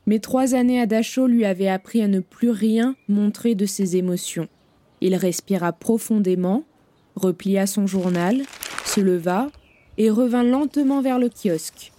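A woman narrating an audio drama in a measured, grave storytelling manner. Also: mid-range voice, warm tone.

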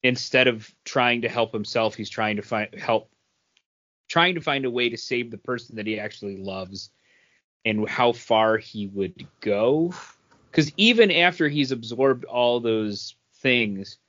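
Male podcast co-host, casual, halting delivery, dull lo-fi sound. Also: mid-range voice, fairly bright tone. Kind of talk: casual chat. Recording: dull lo-fi audio.

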